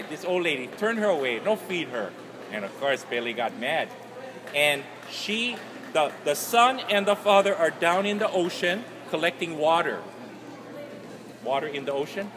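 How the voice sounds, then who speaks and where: A man, talking informally as an author and telling stories to an audience in an animated, colloquial way. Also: fairly high pitch. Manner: animated, gesturing, colloquial storyteller. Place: large indoor festival hall, noise behind. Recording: noisy large hall, ambient background noise.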